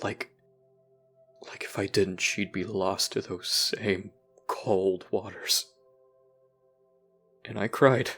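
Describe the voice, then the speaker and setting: An actor playing a brooding, guilt-ridden young person recounting painful memories in a somber, halting audio drama monologue. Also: male voice, lowish voice, a bit bright, husky, full character voice.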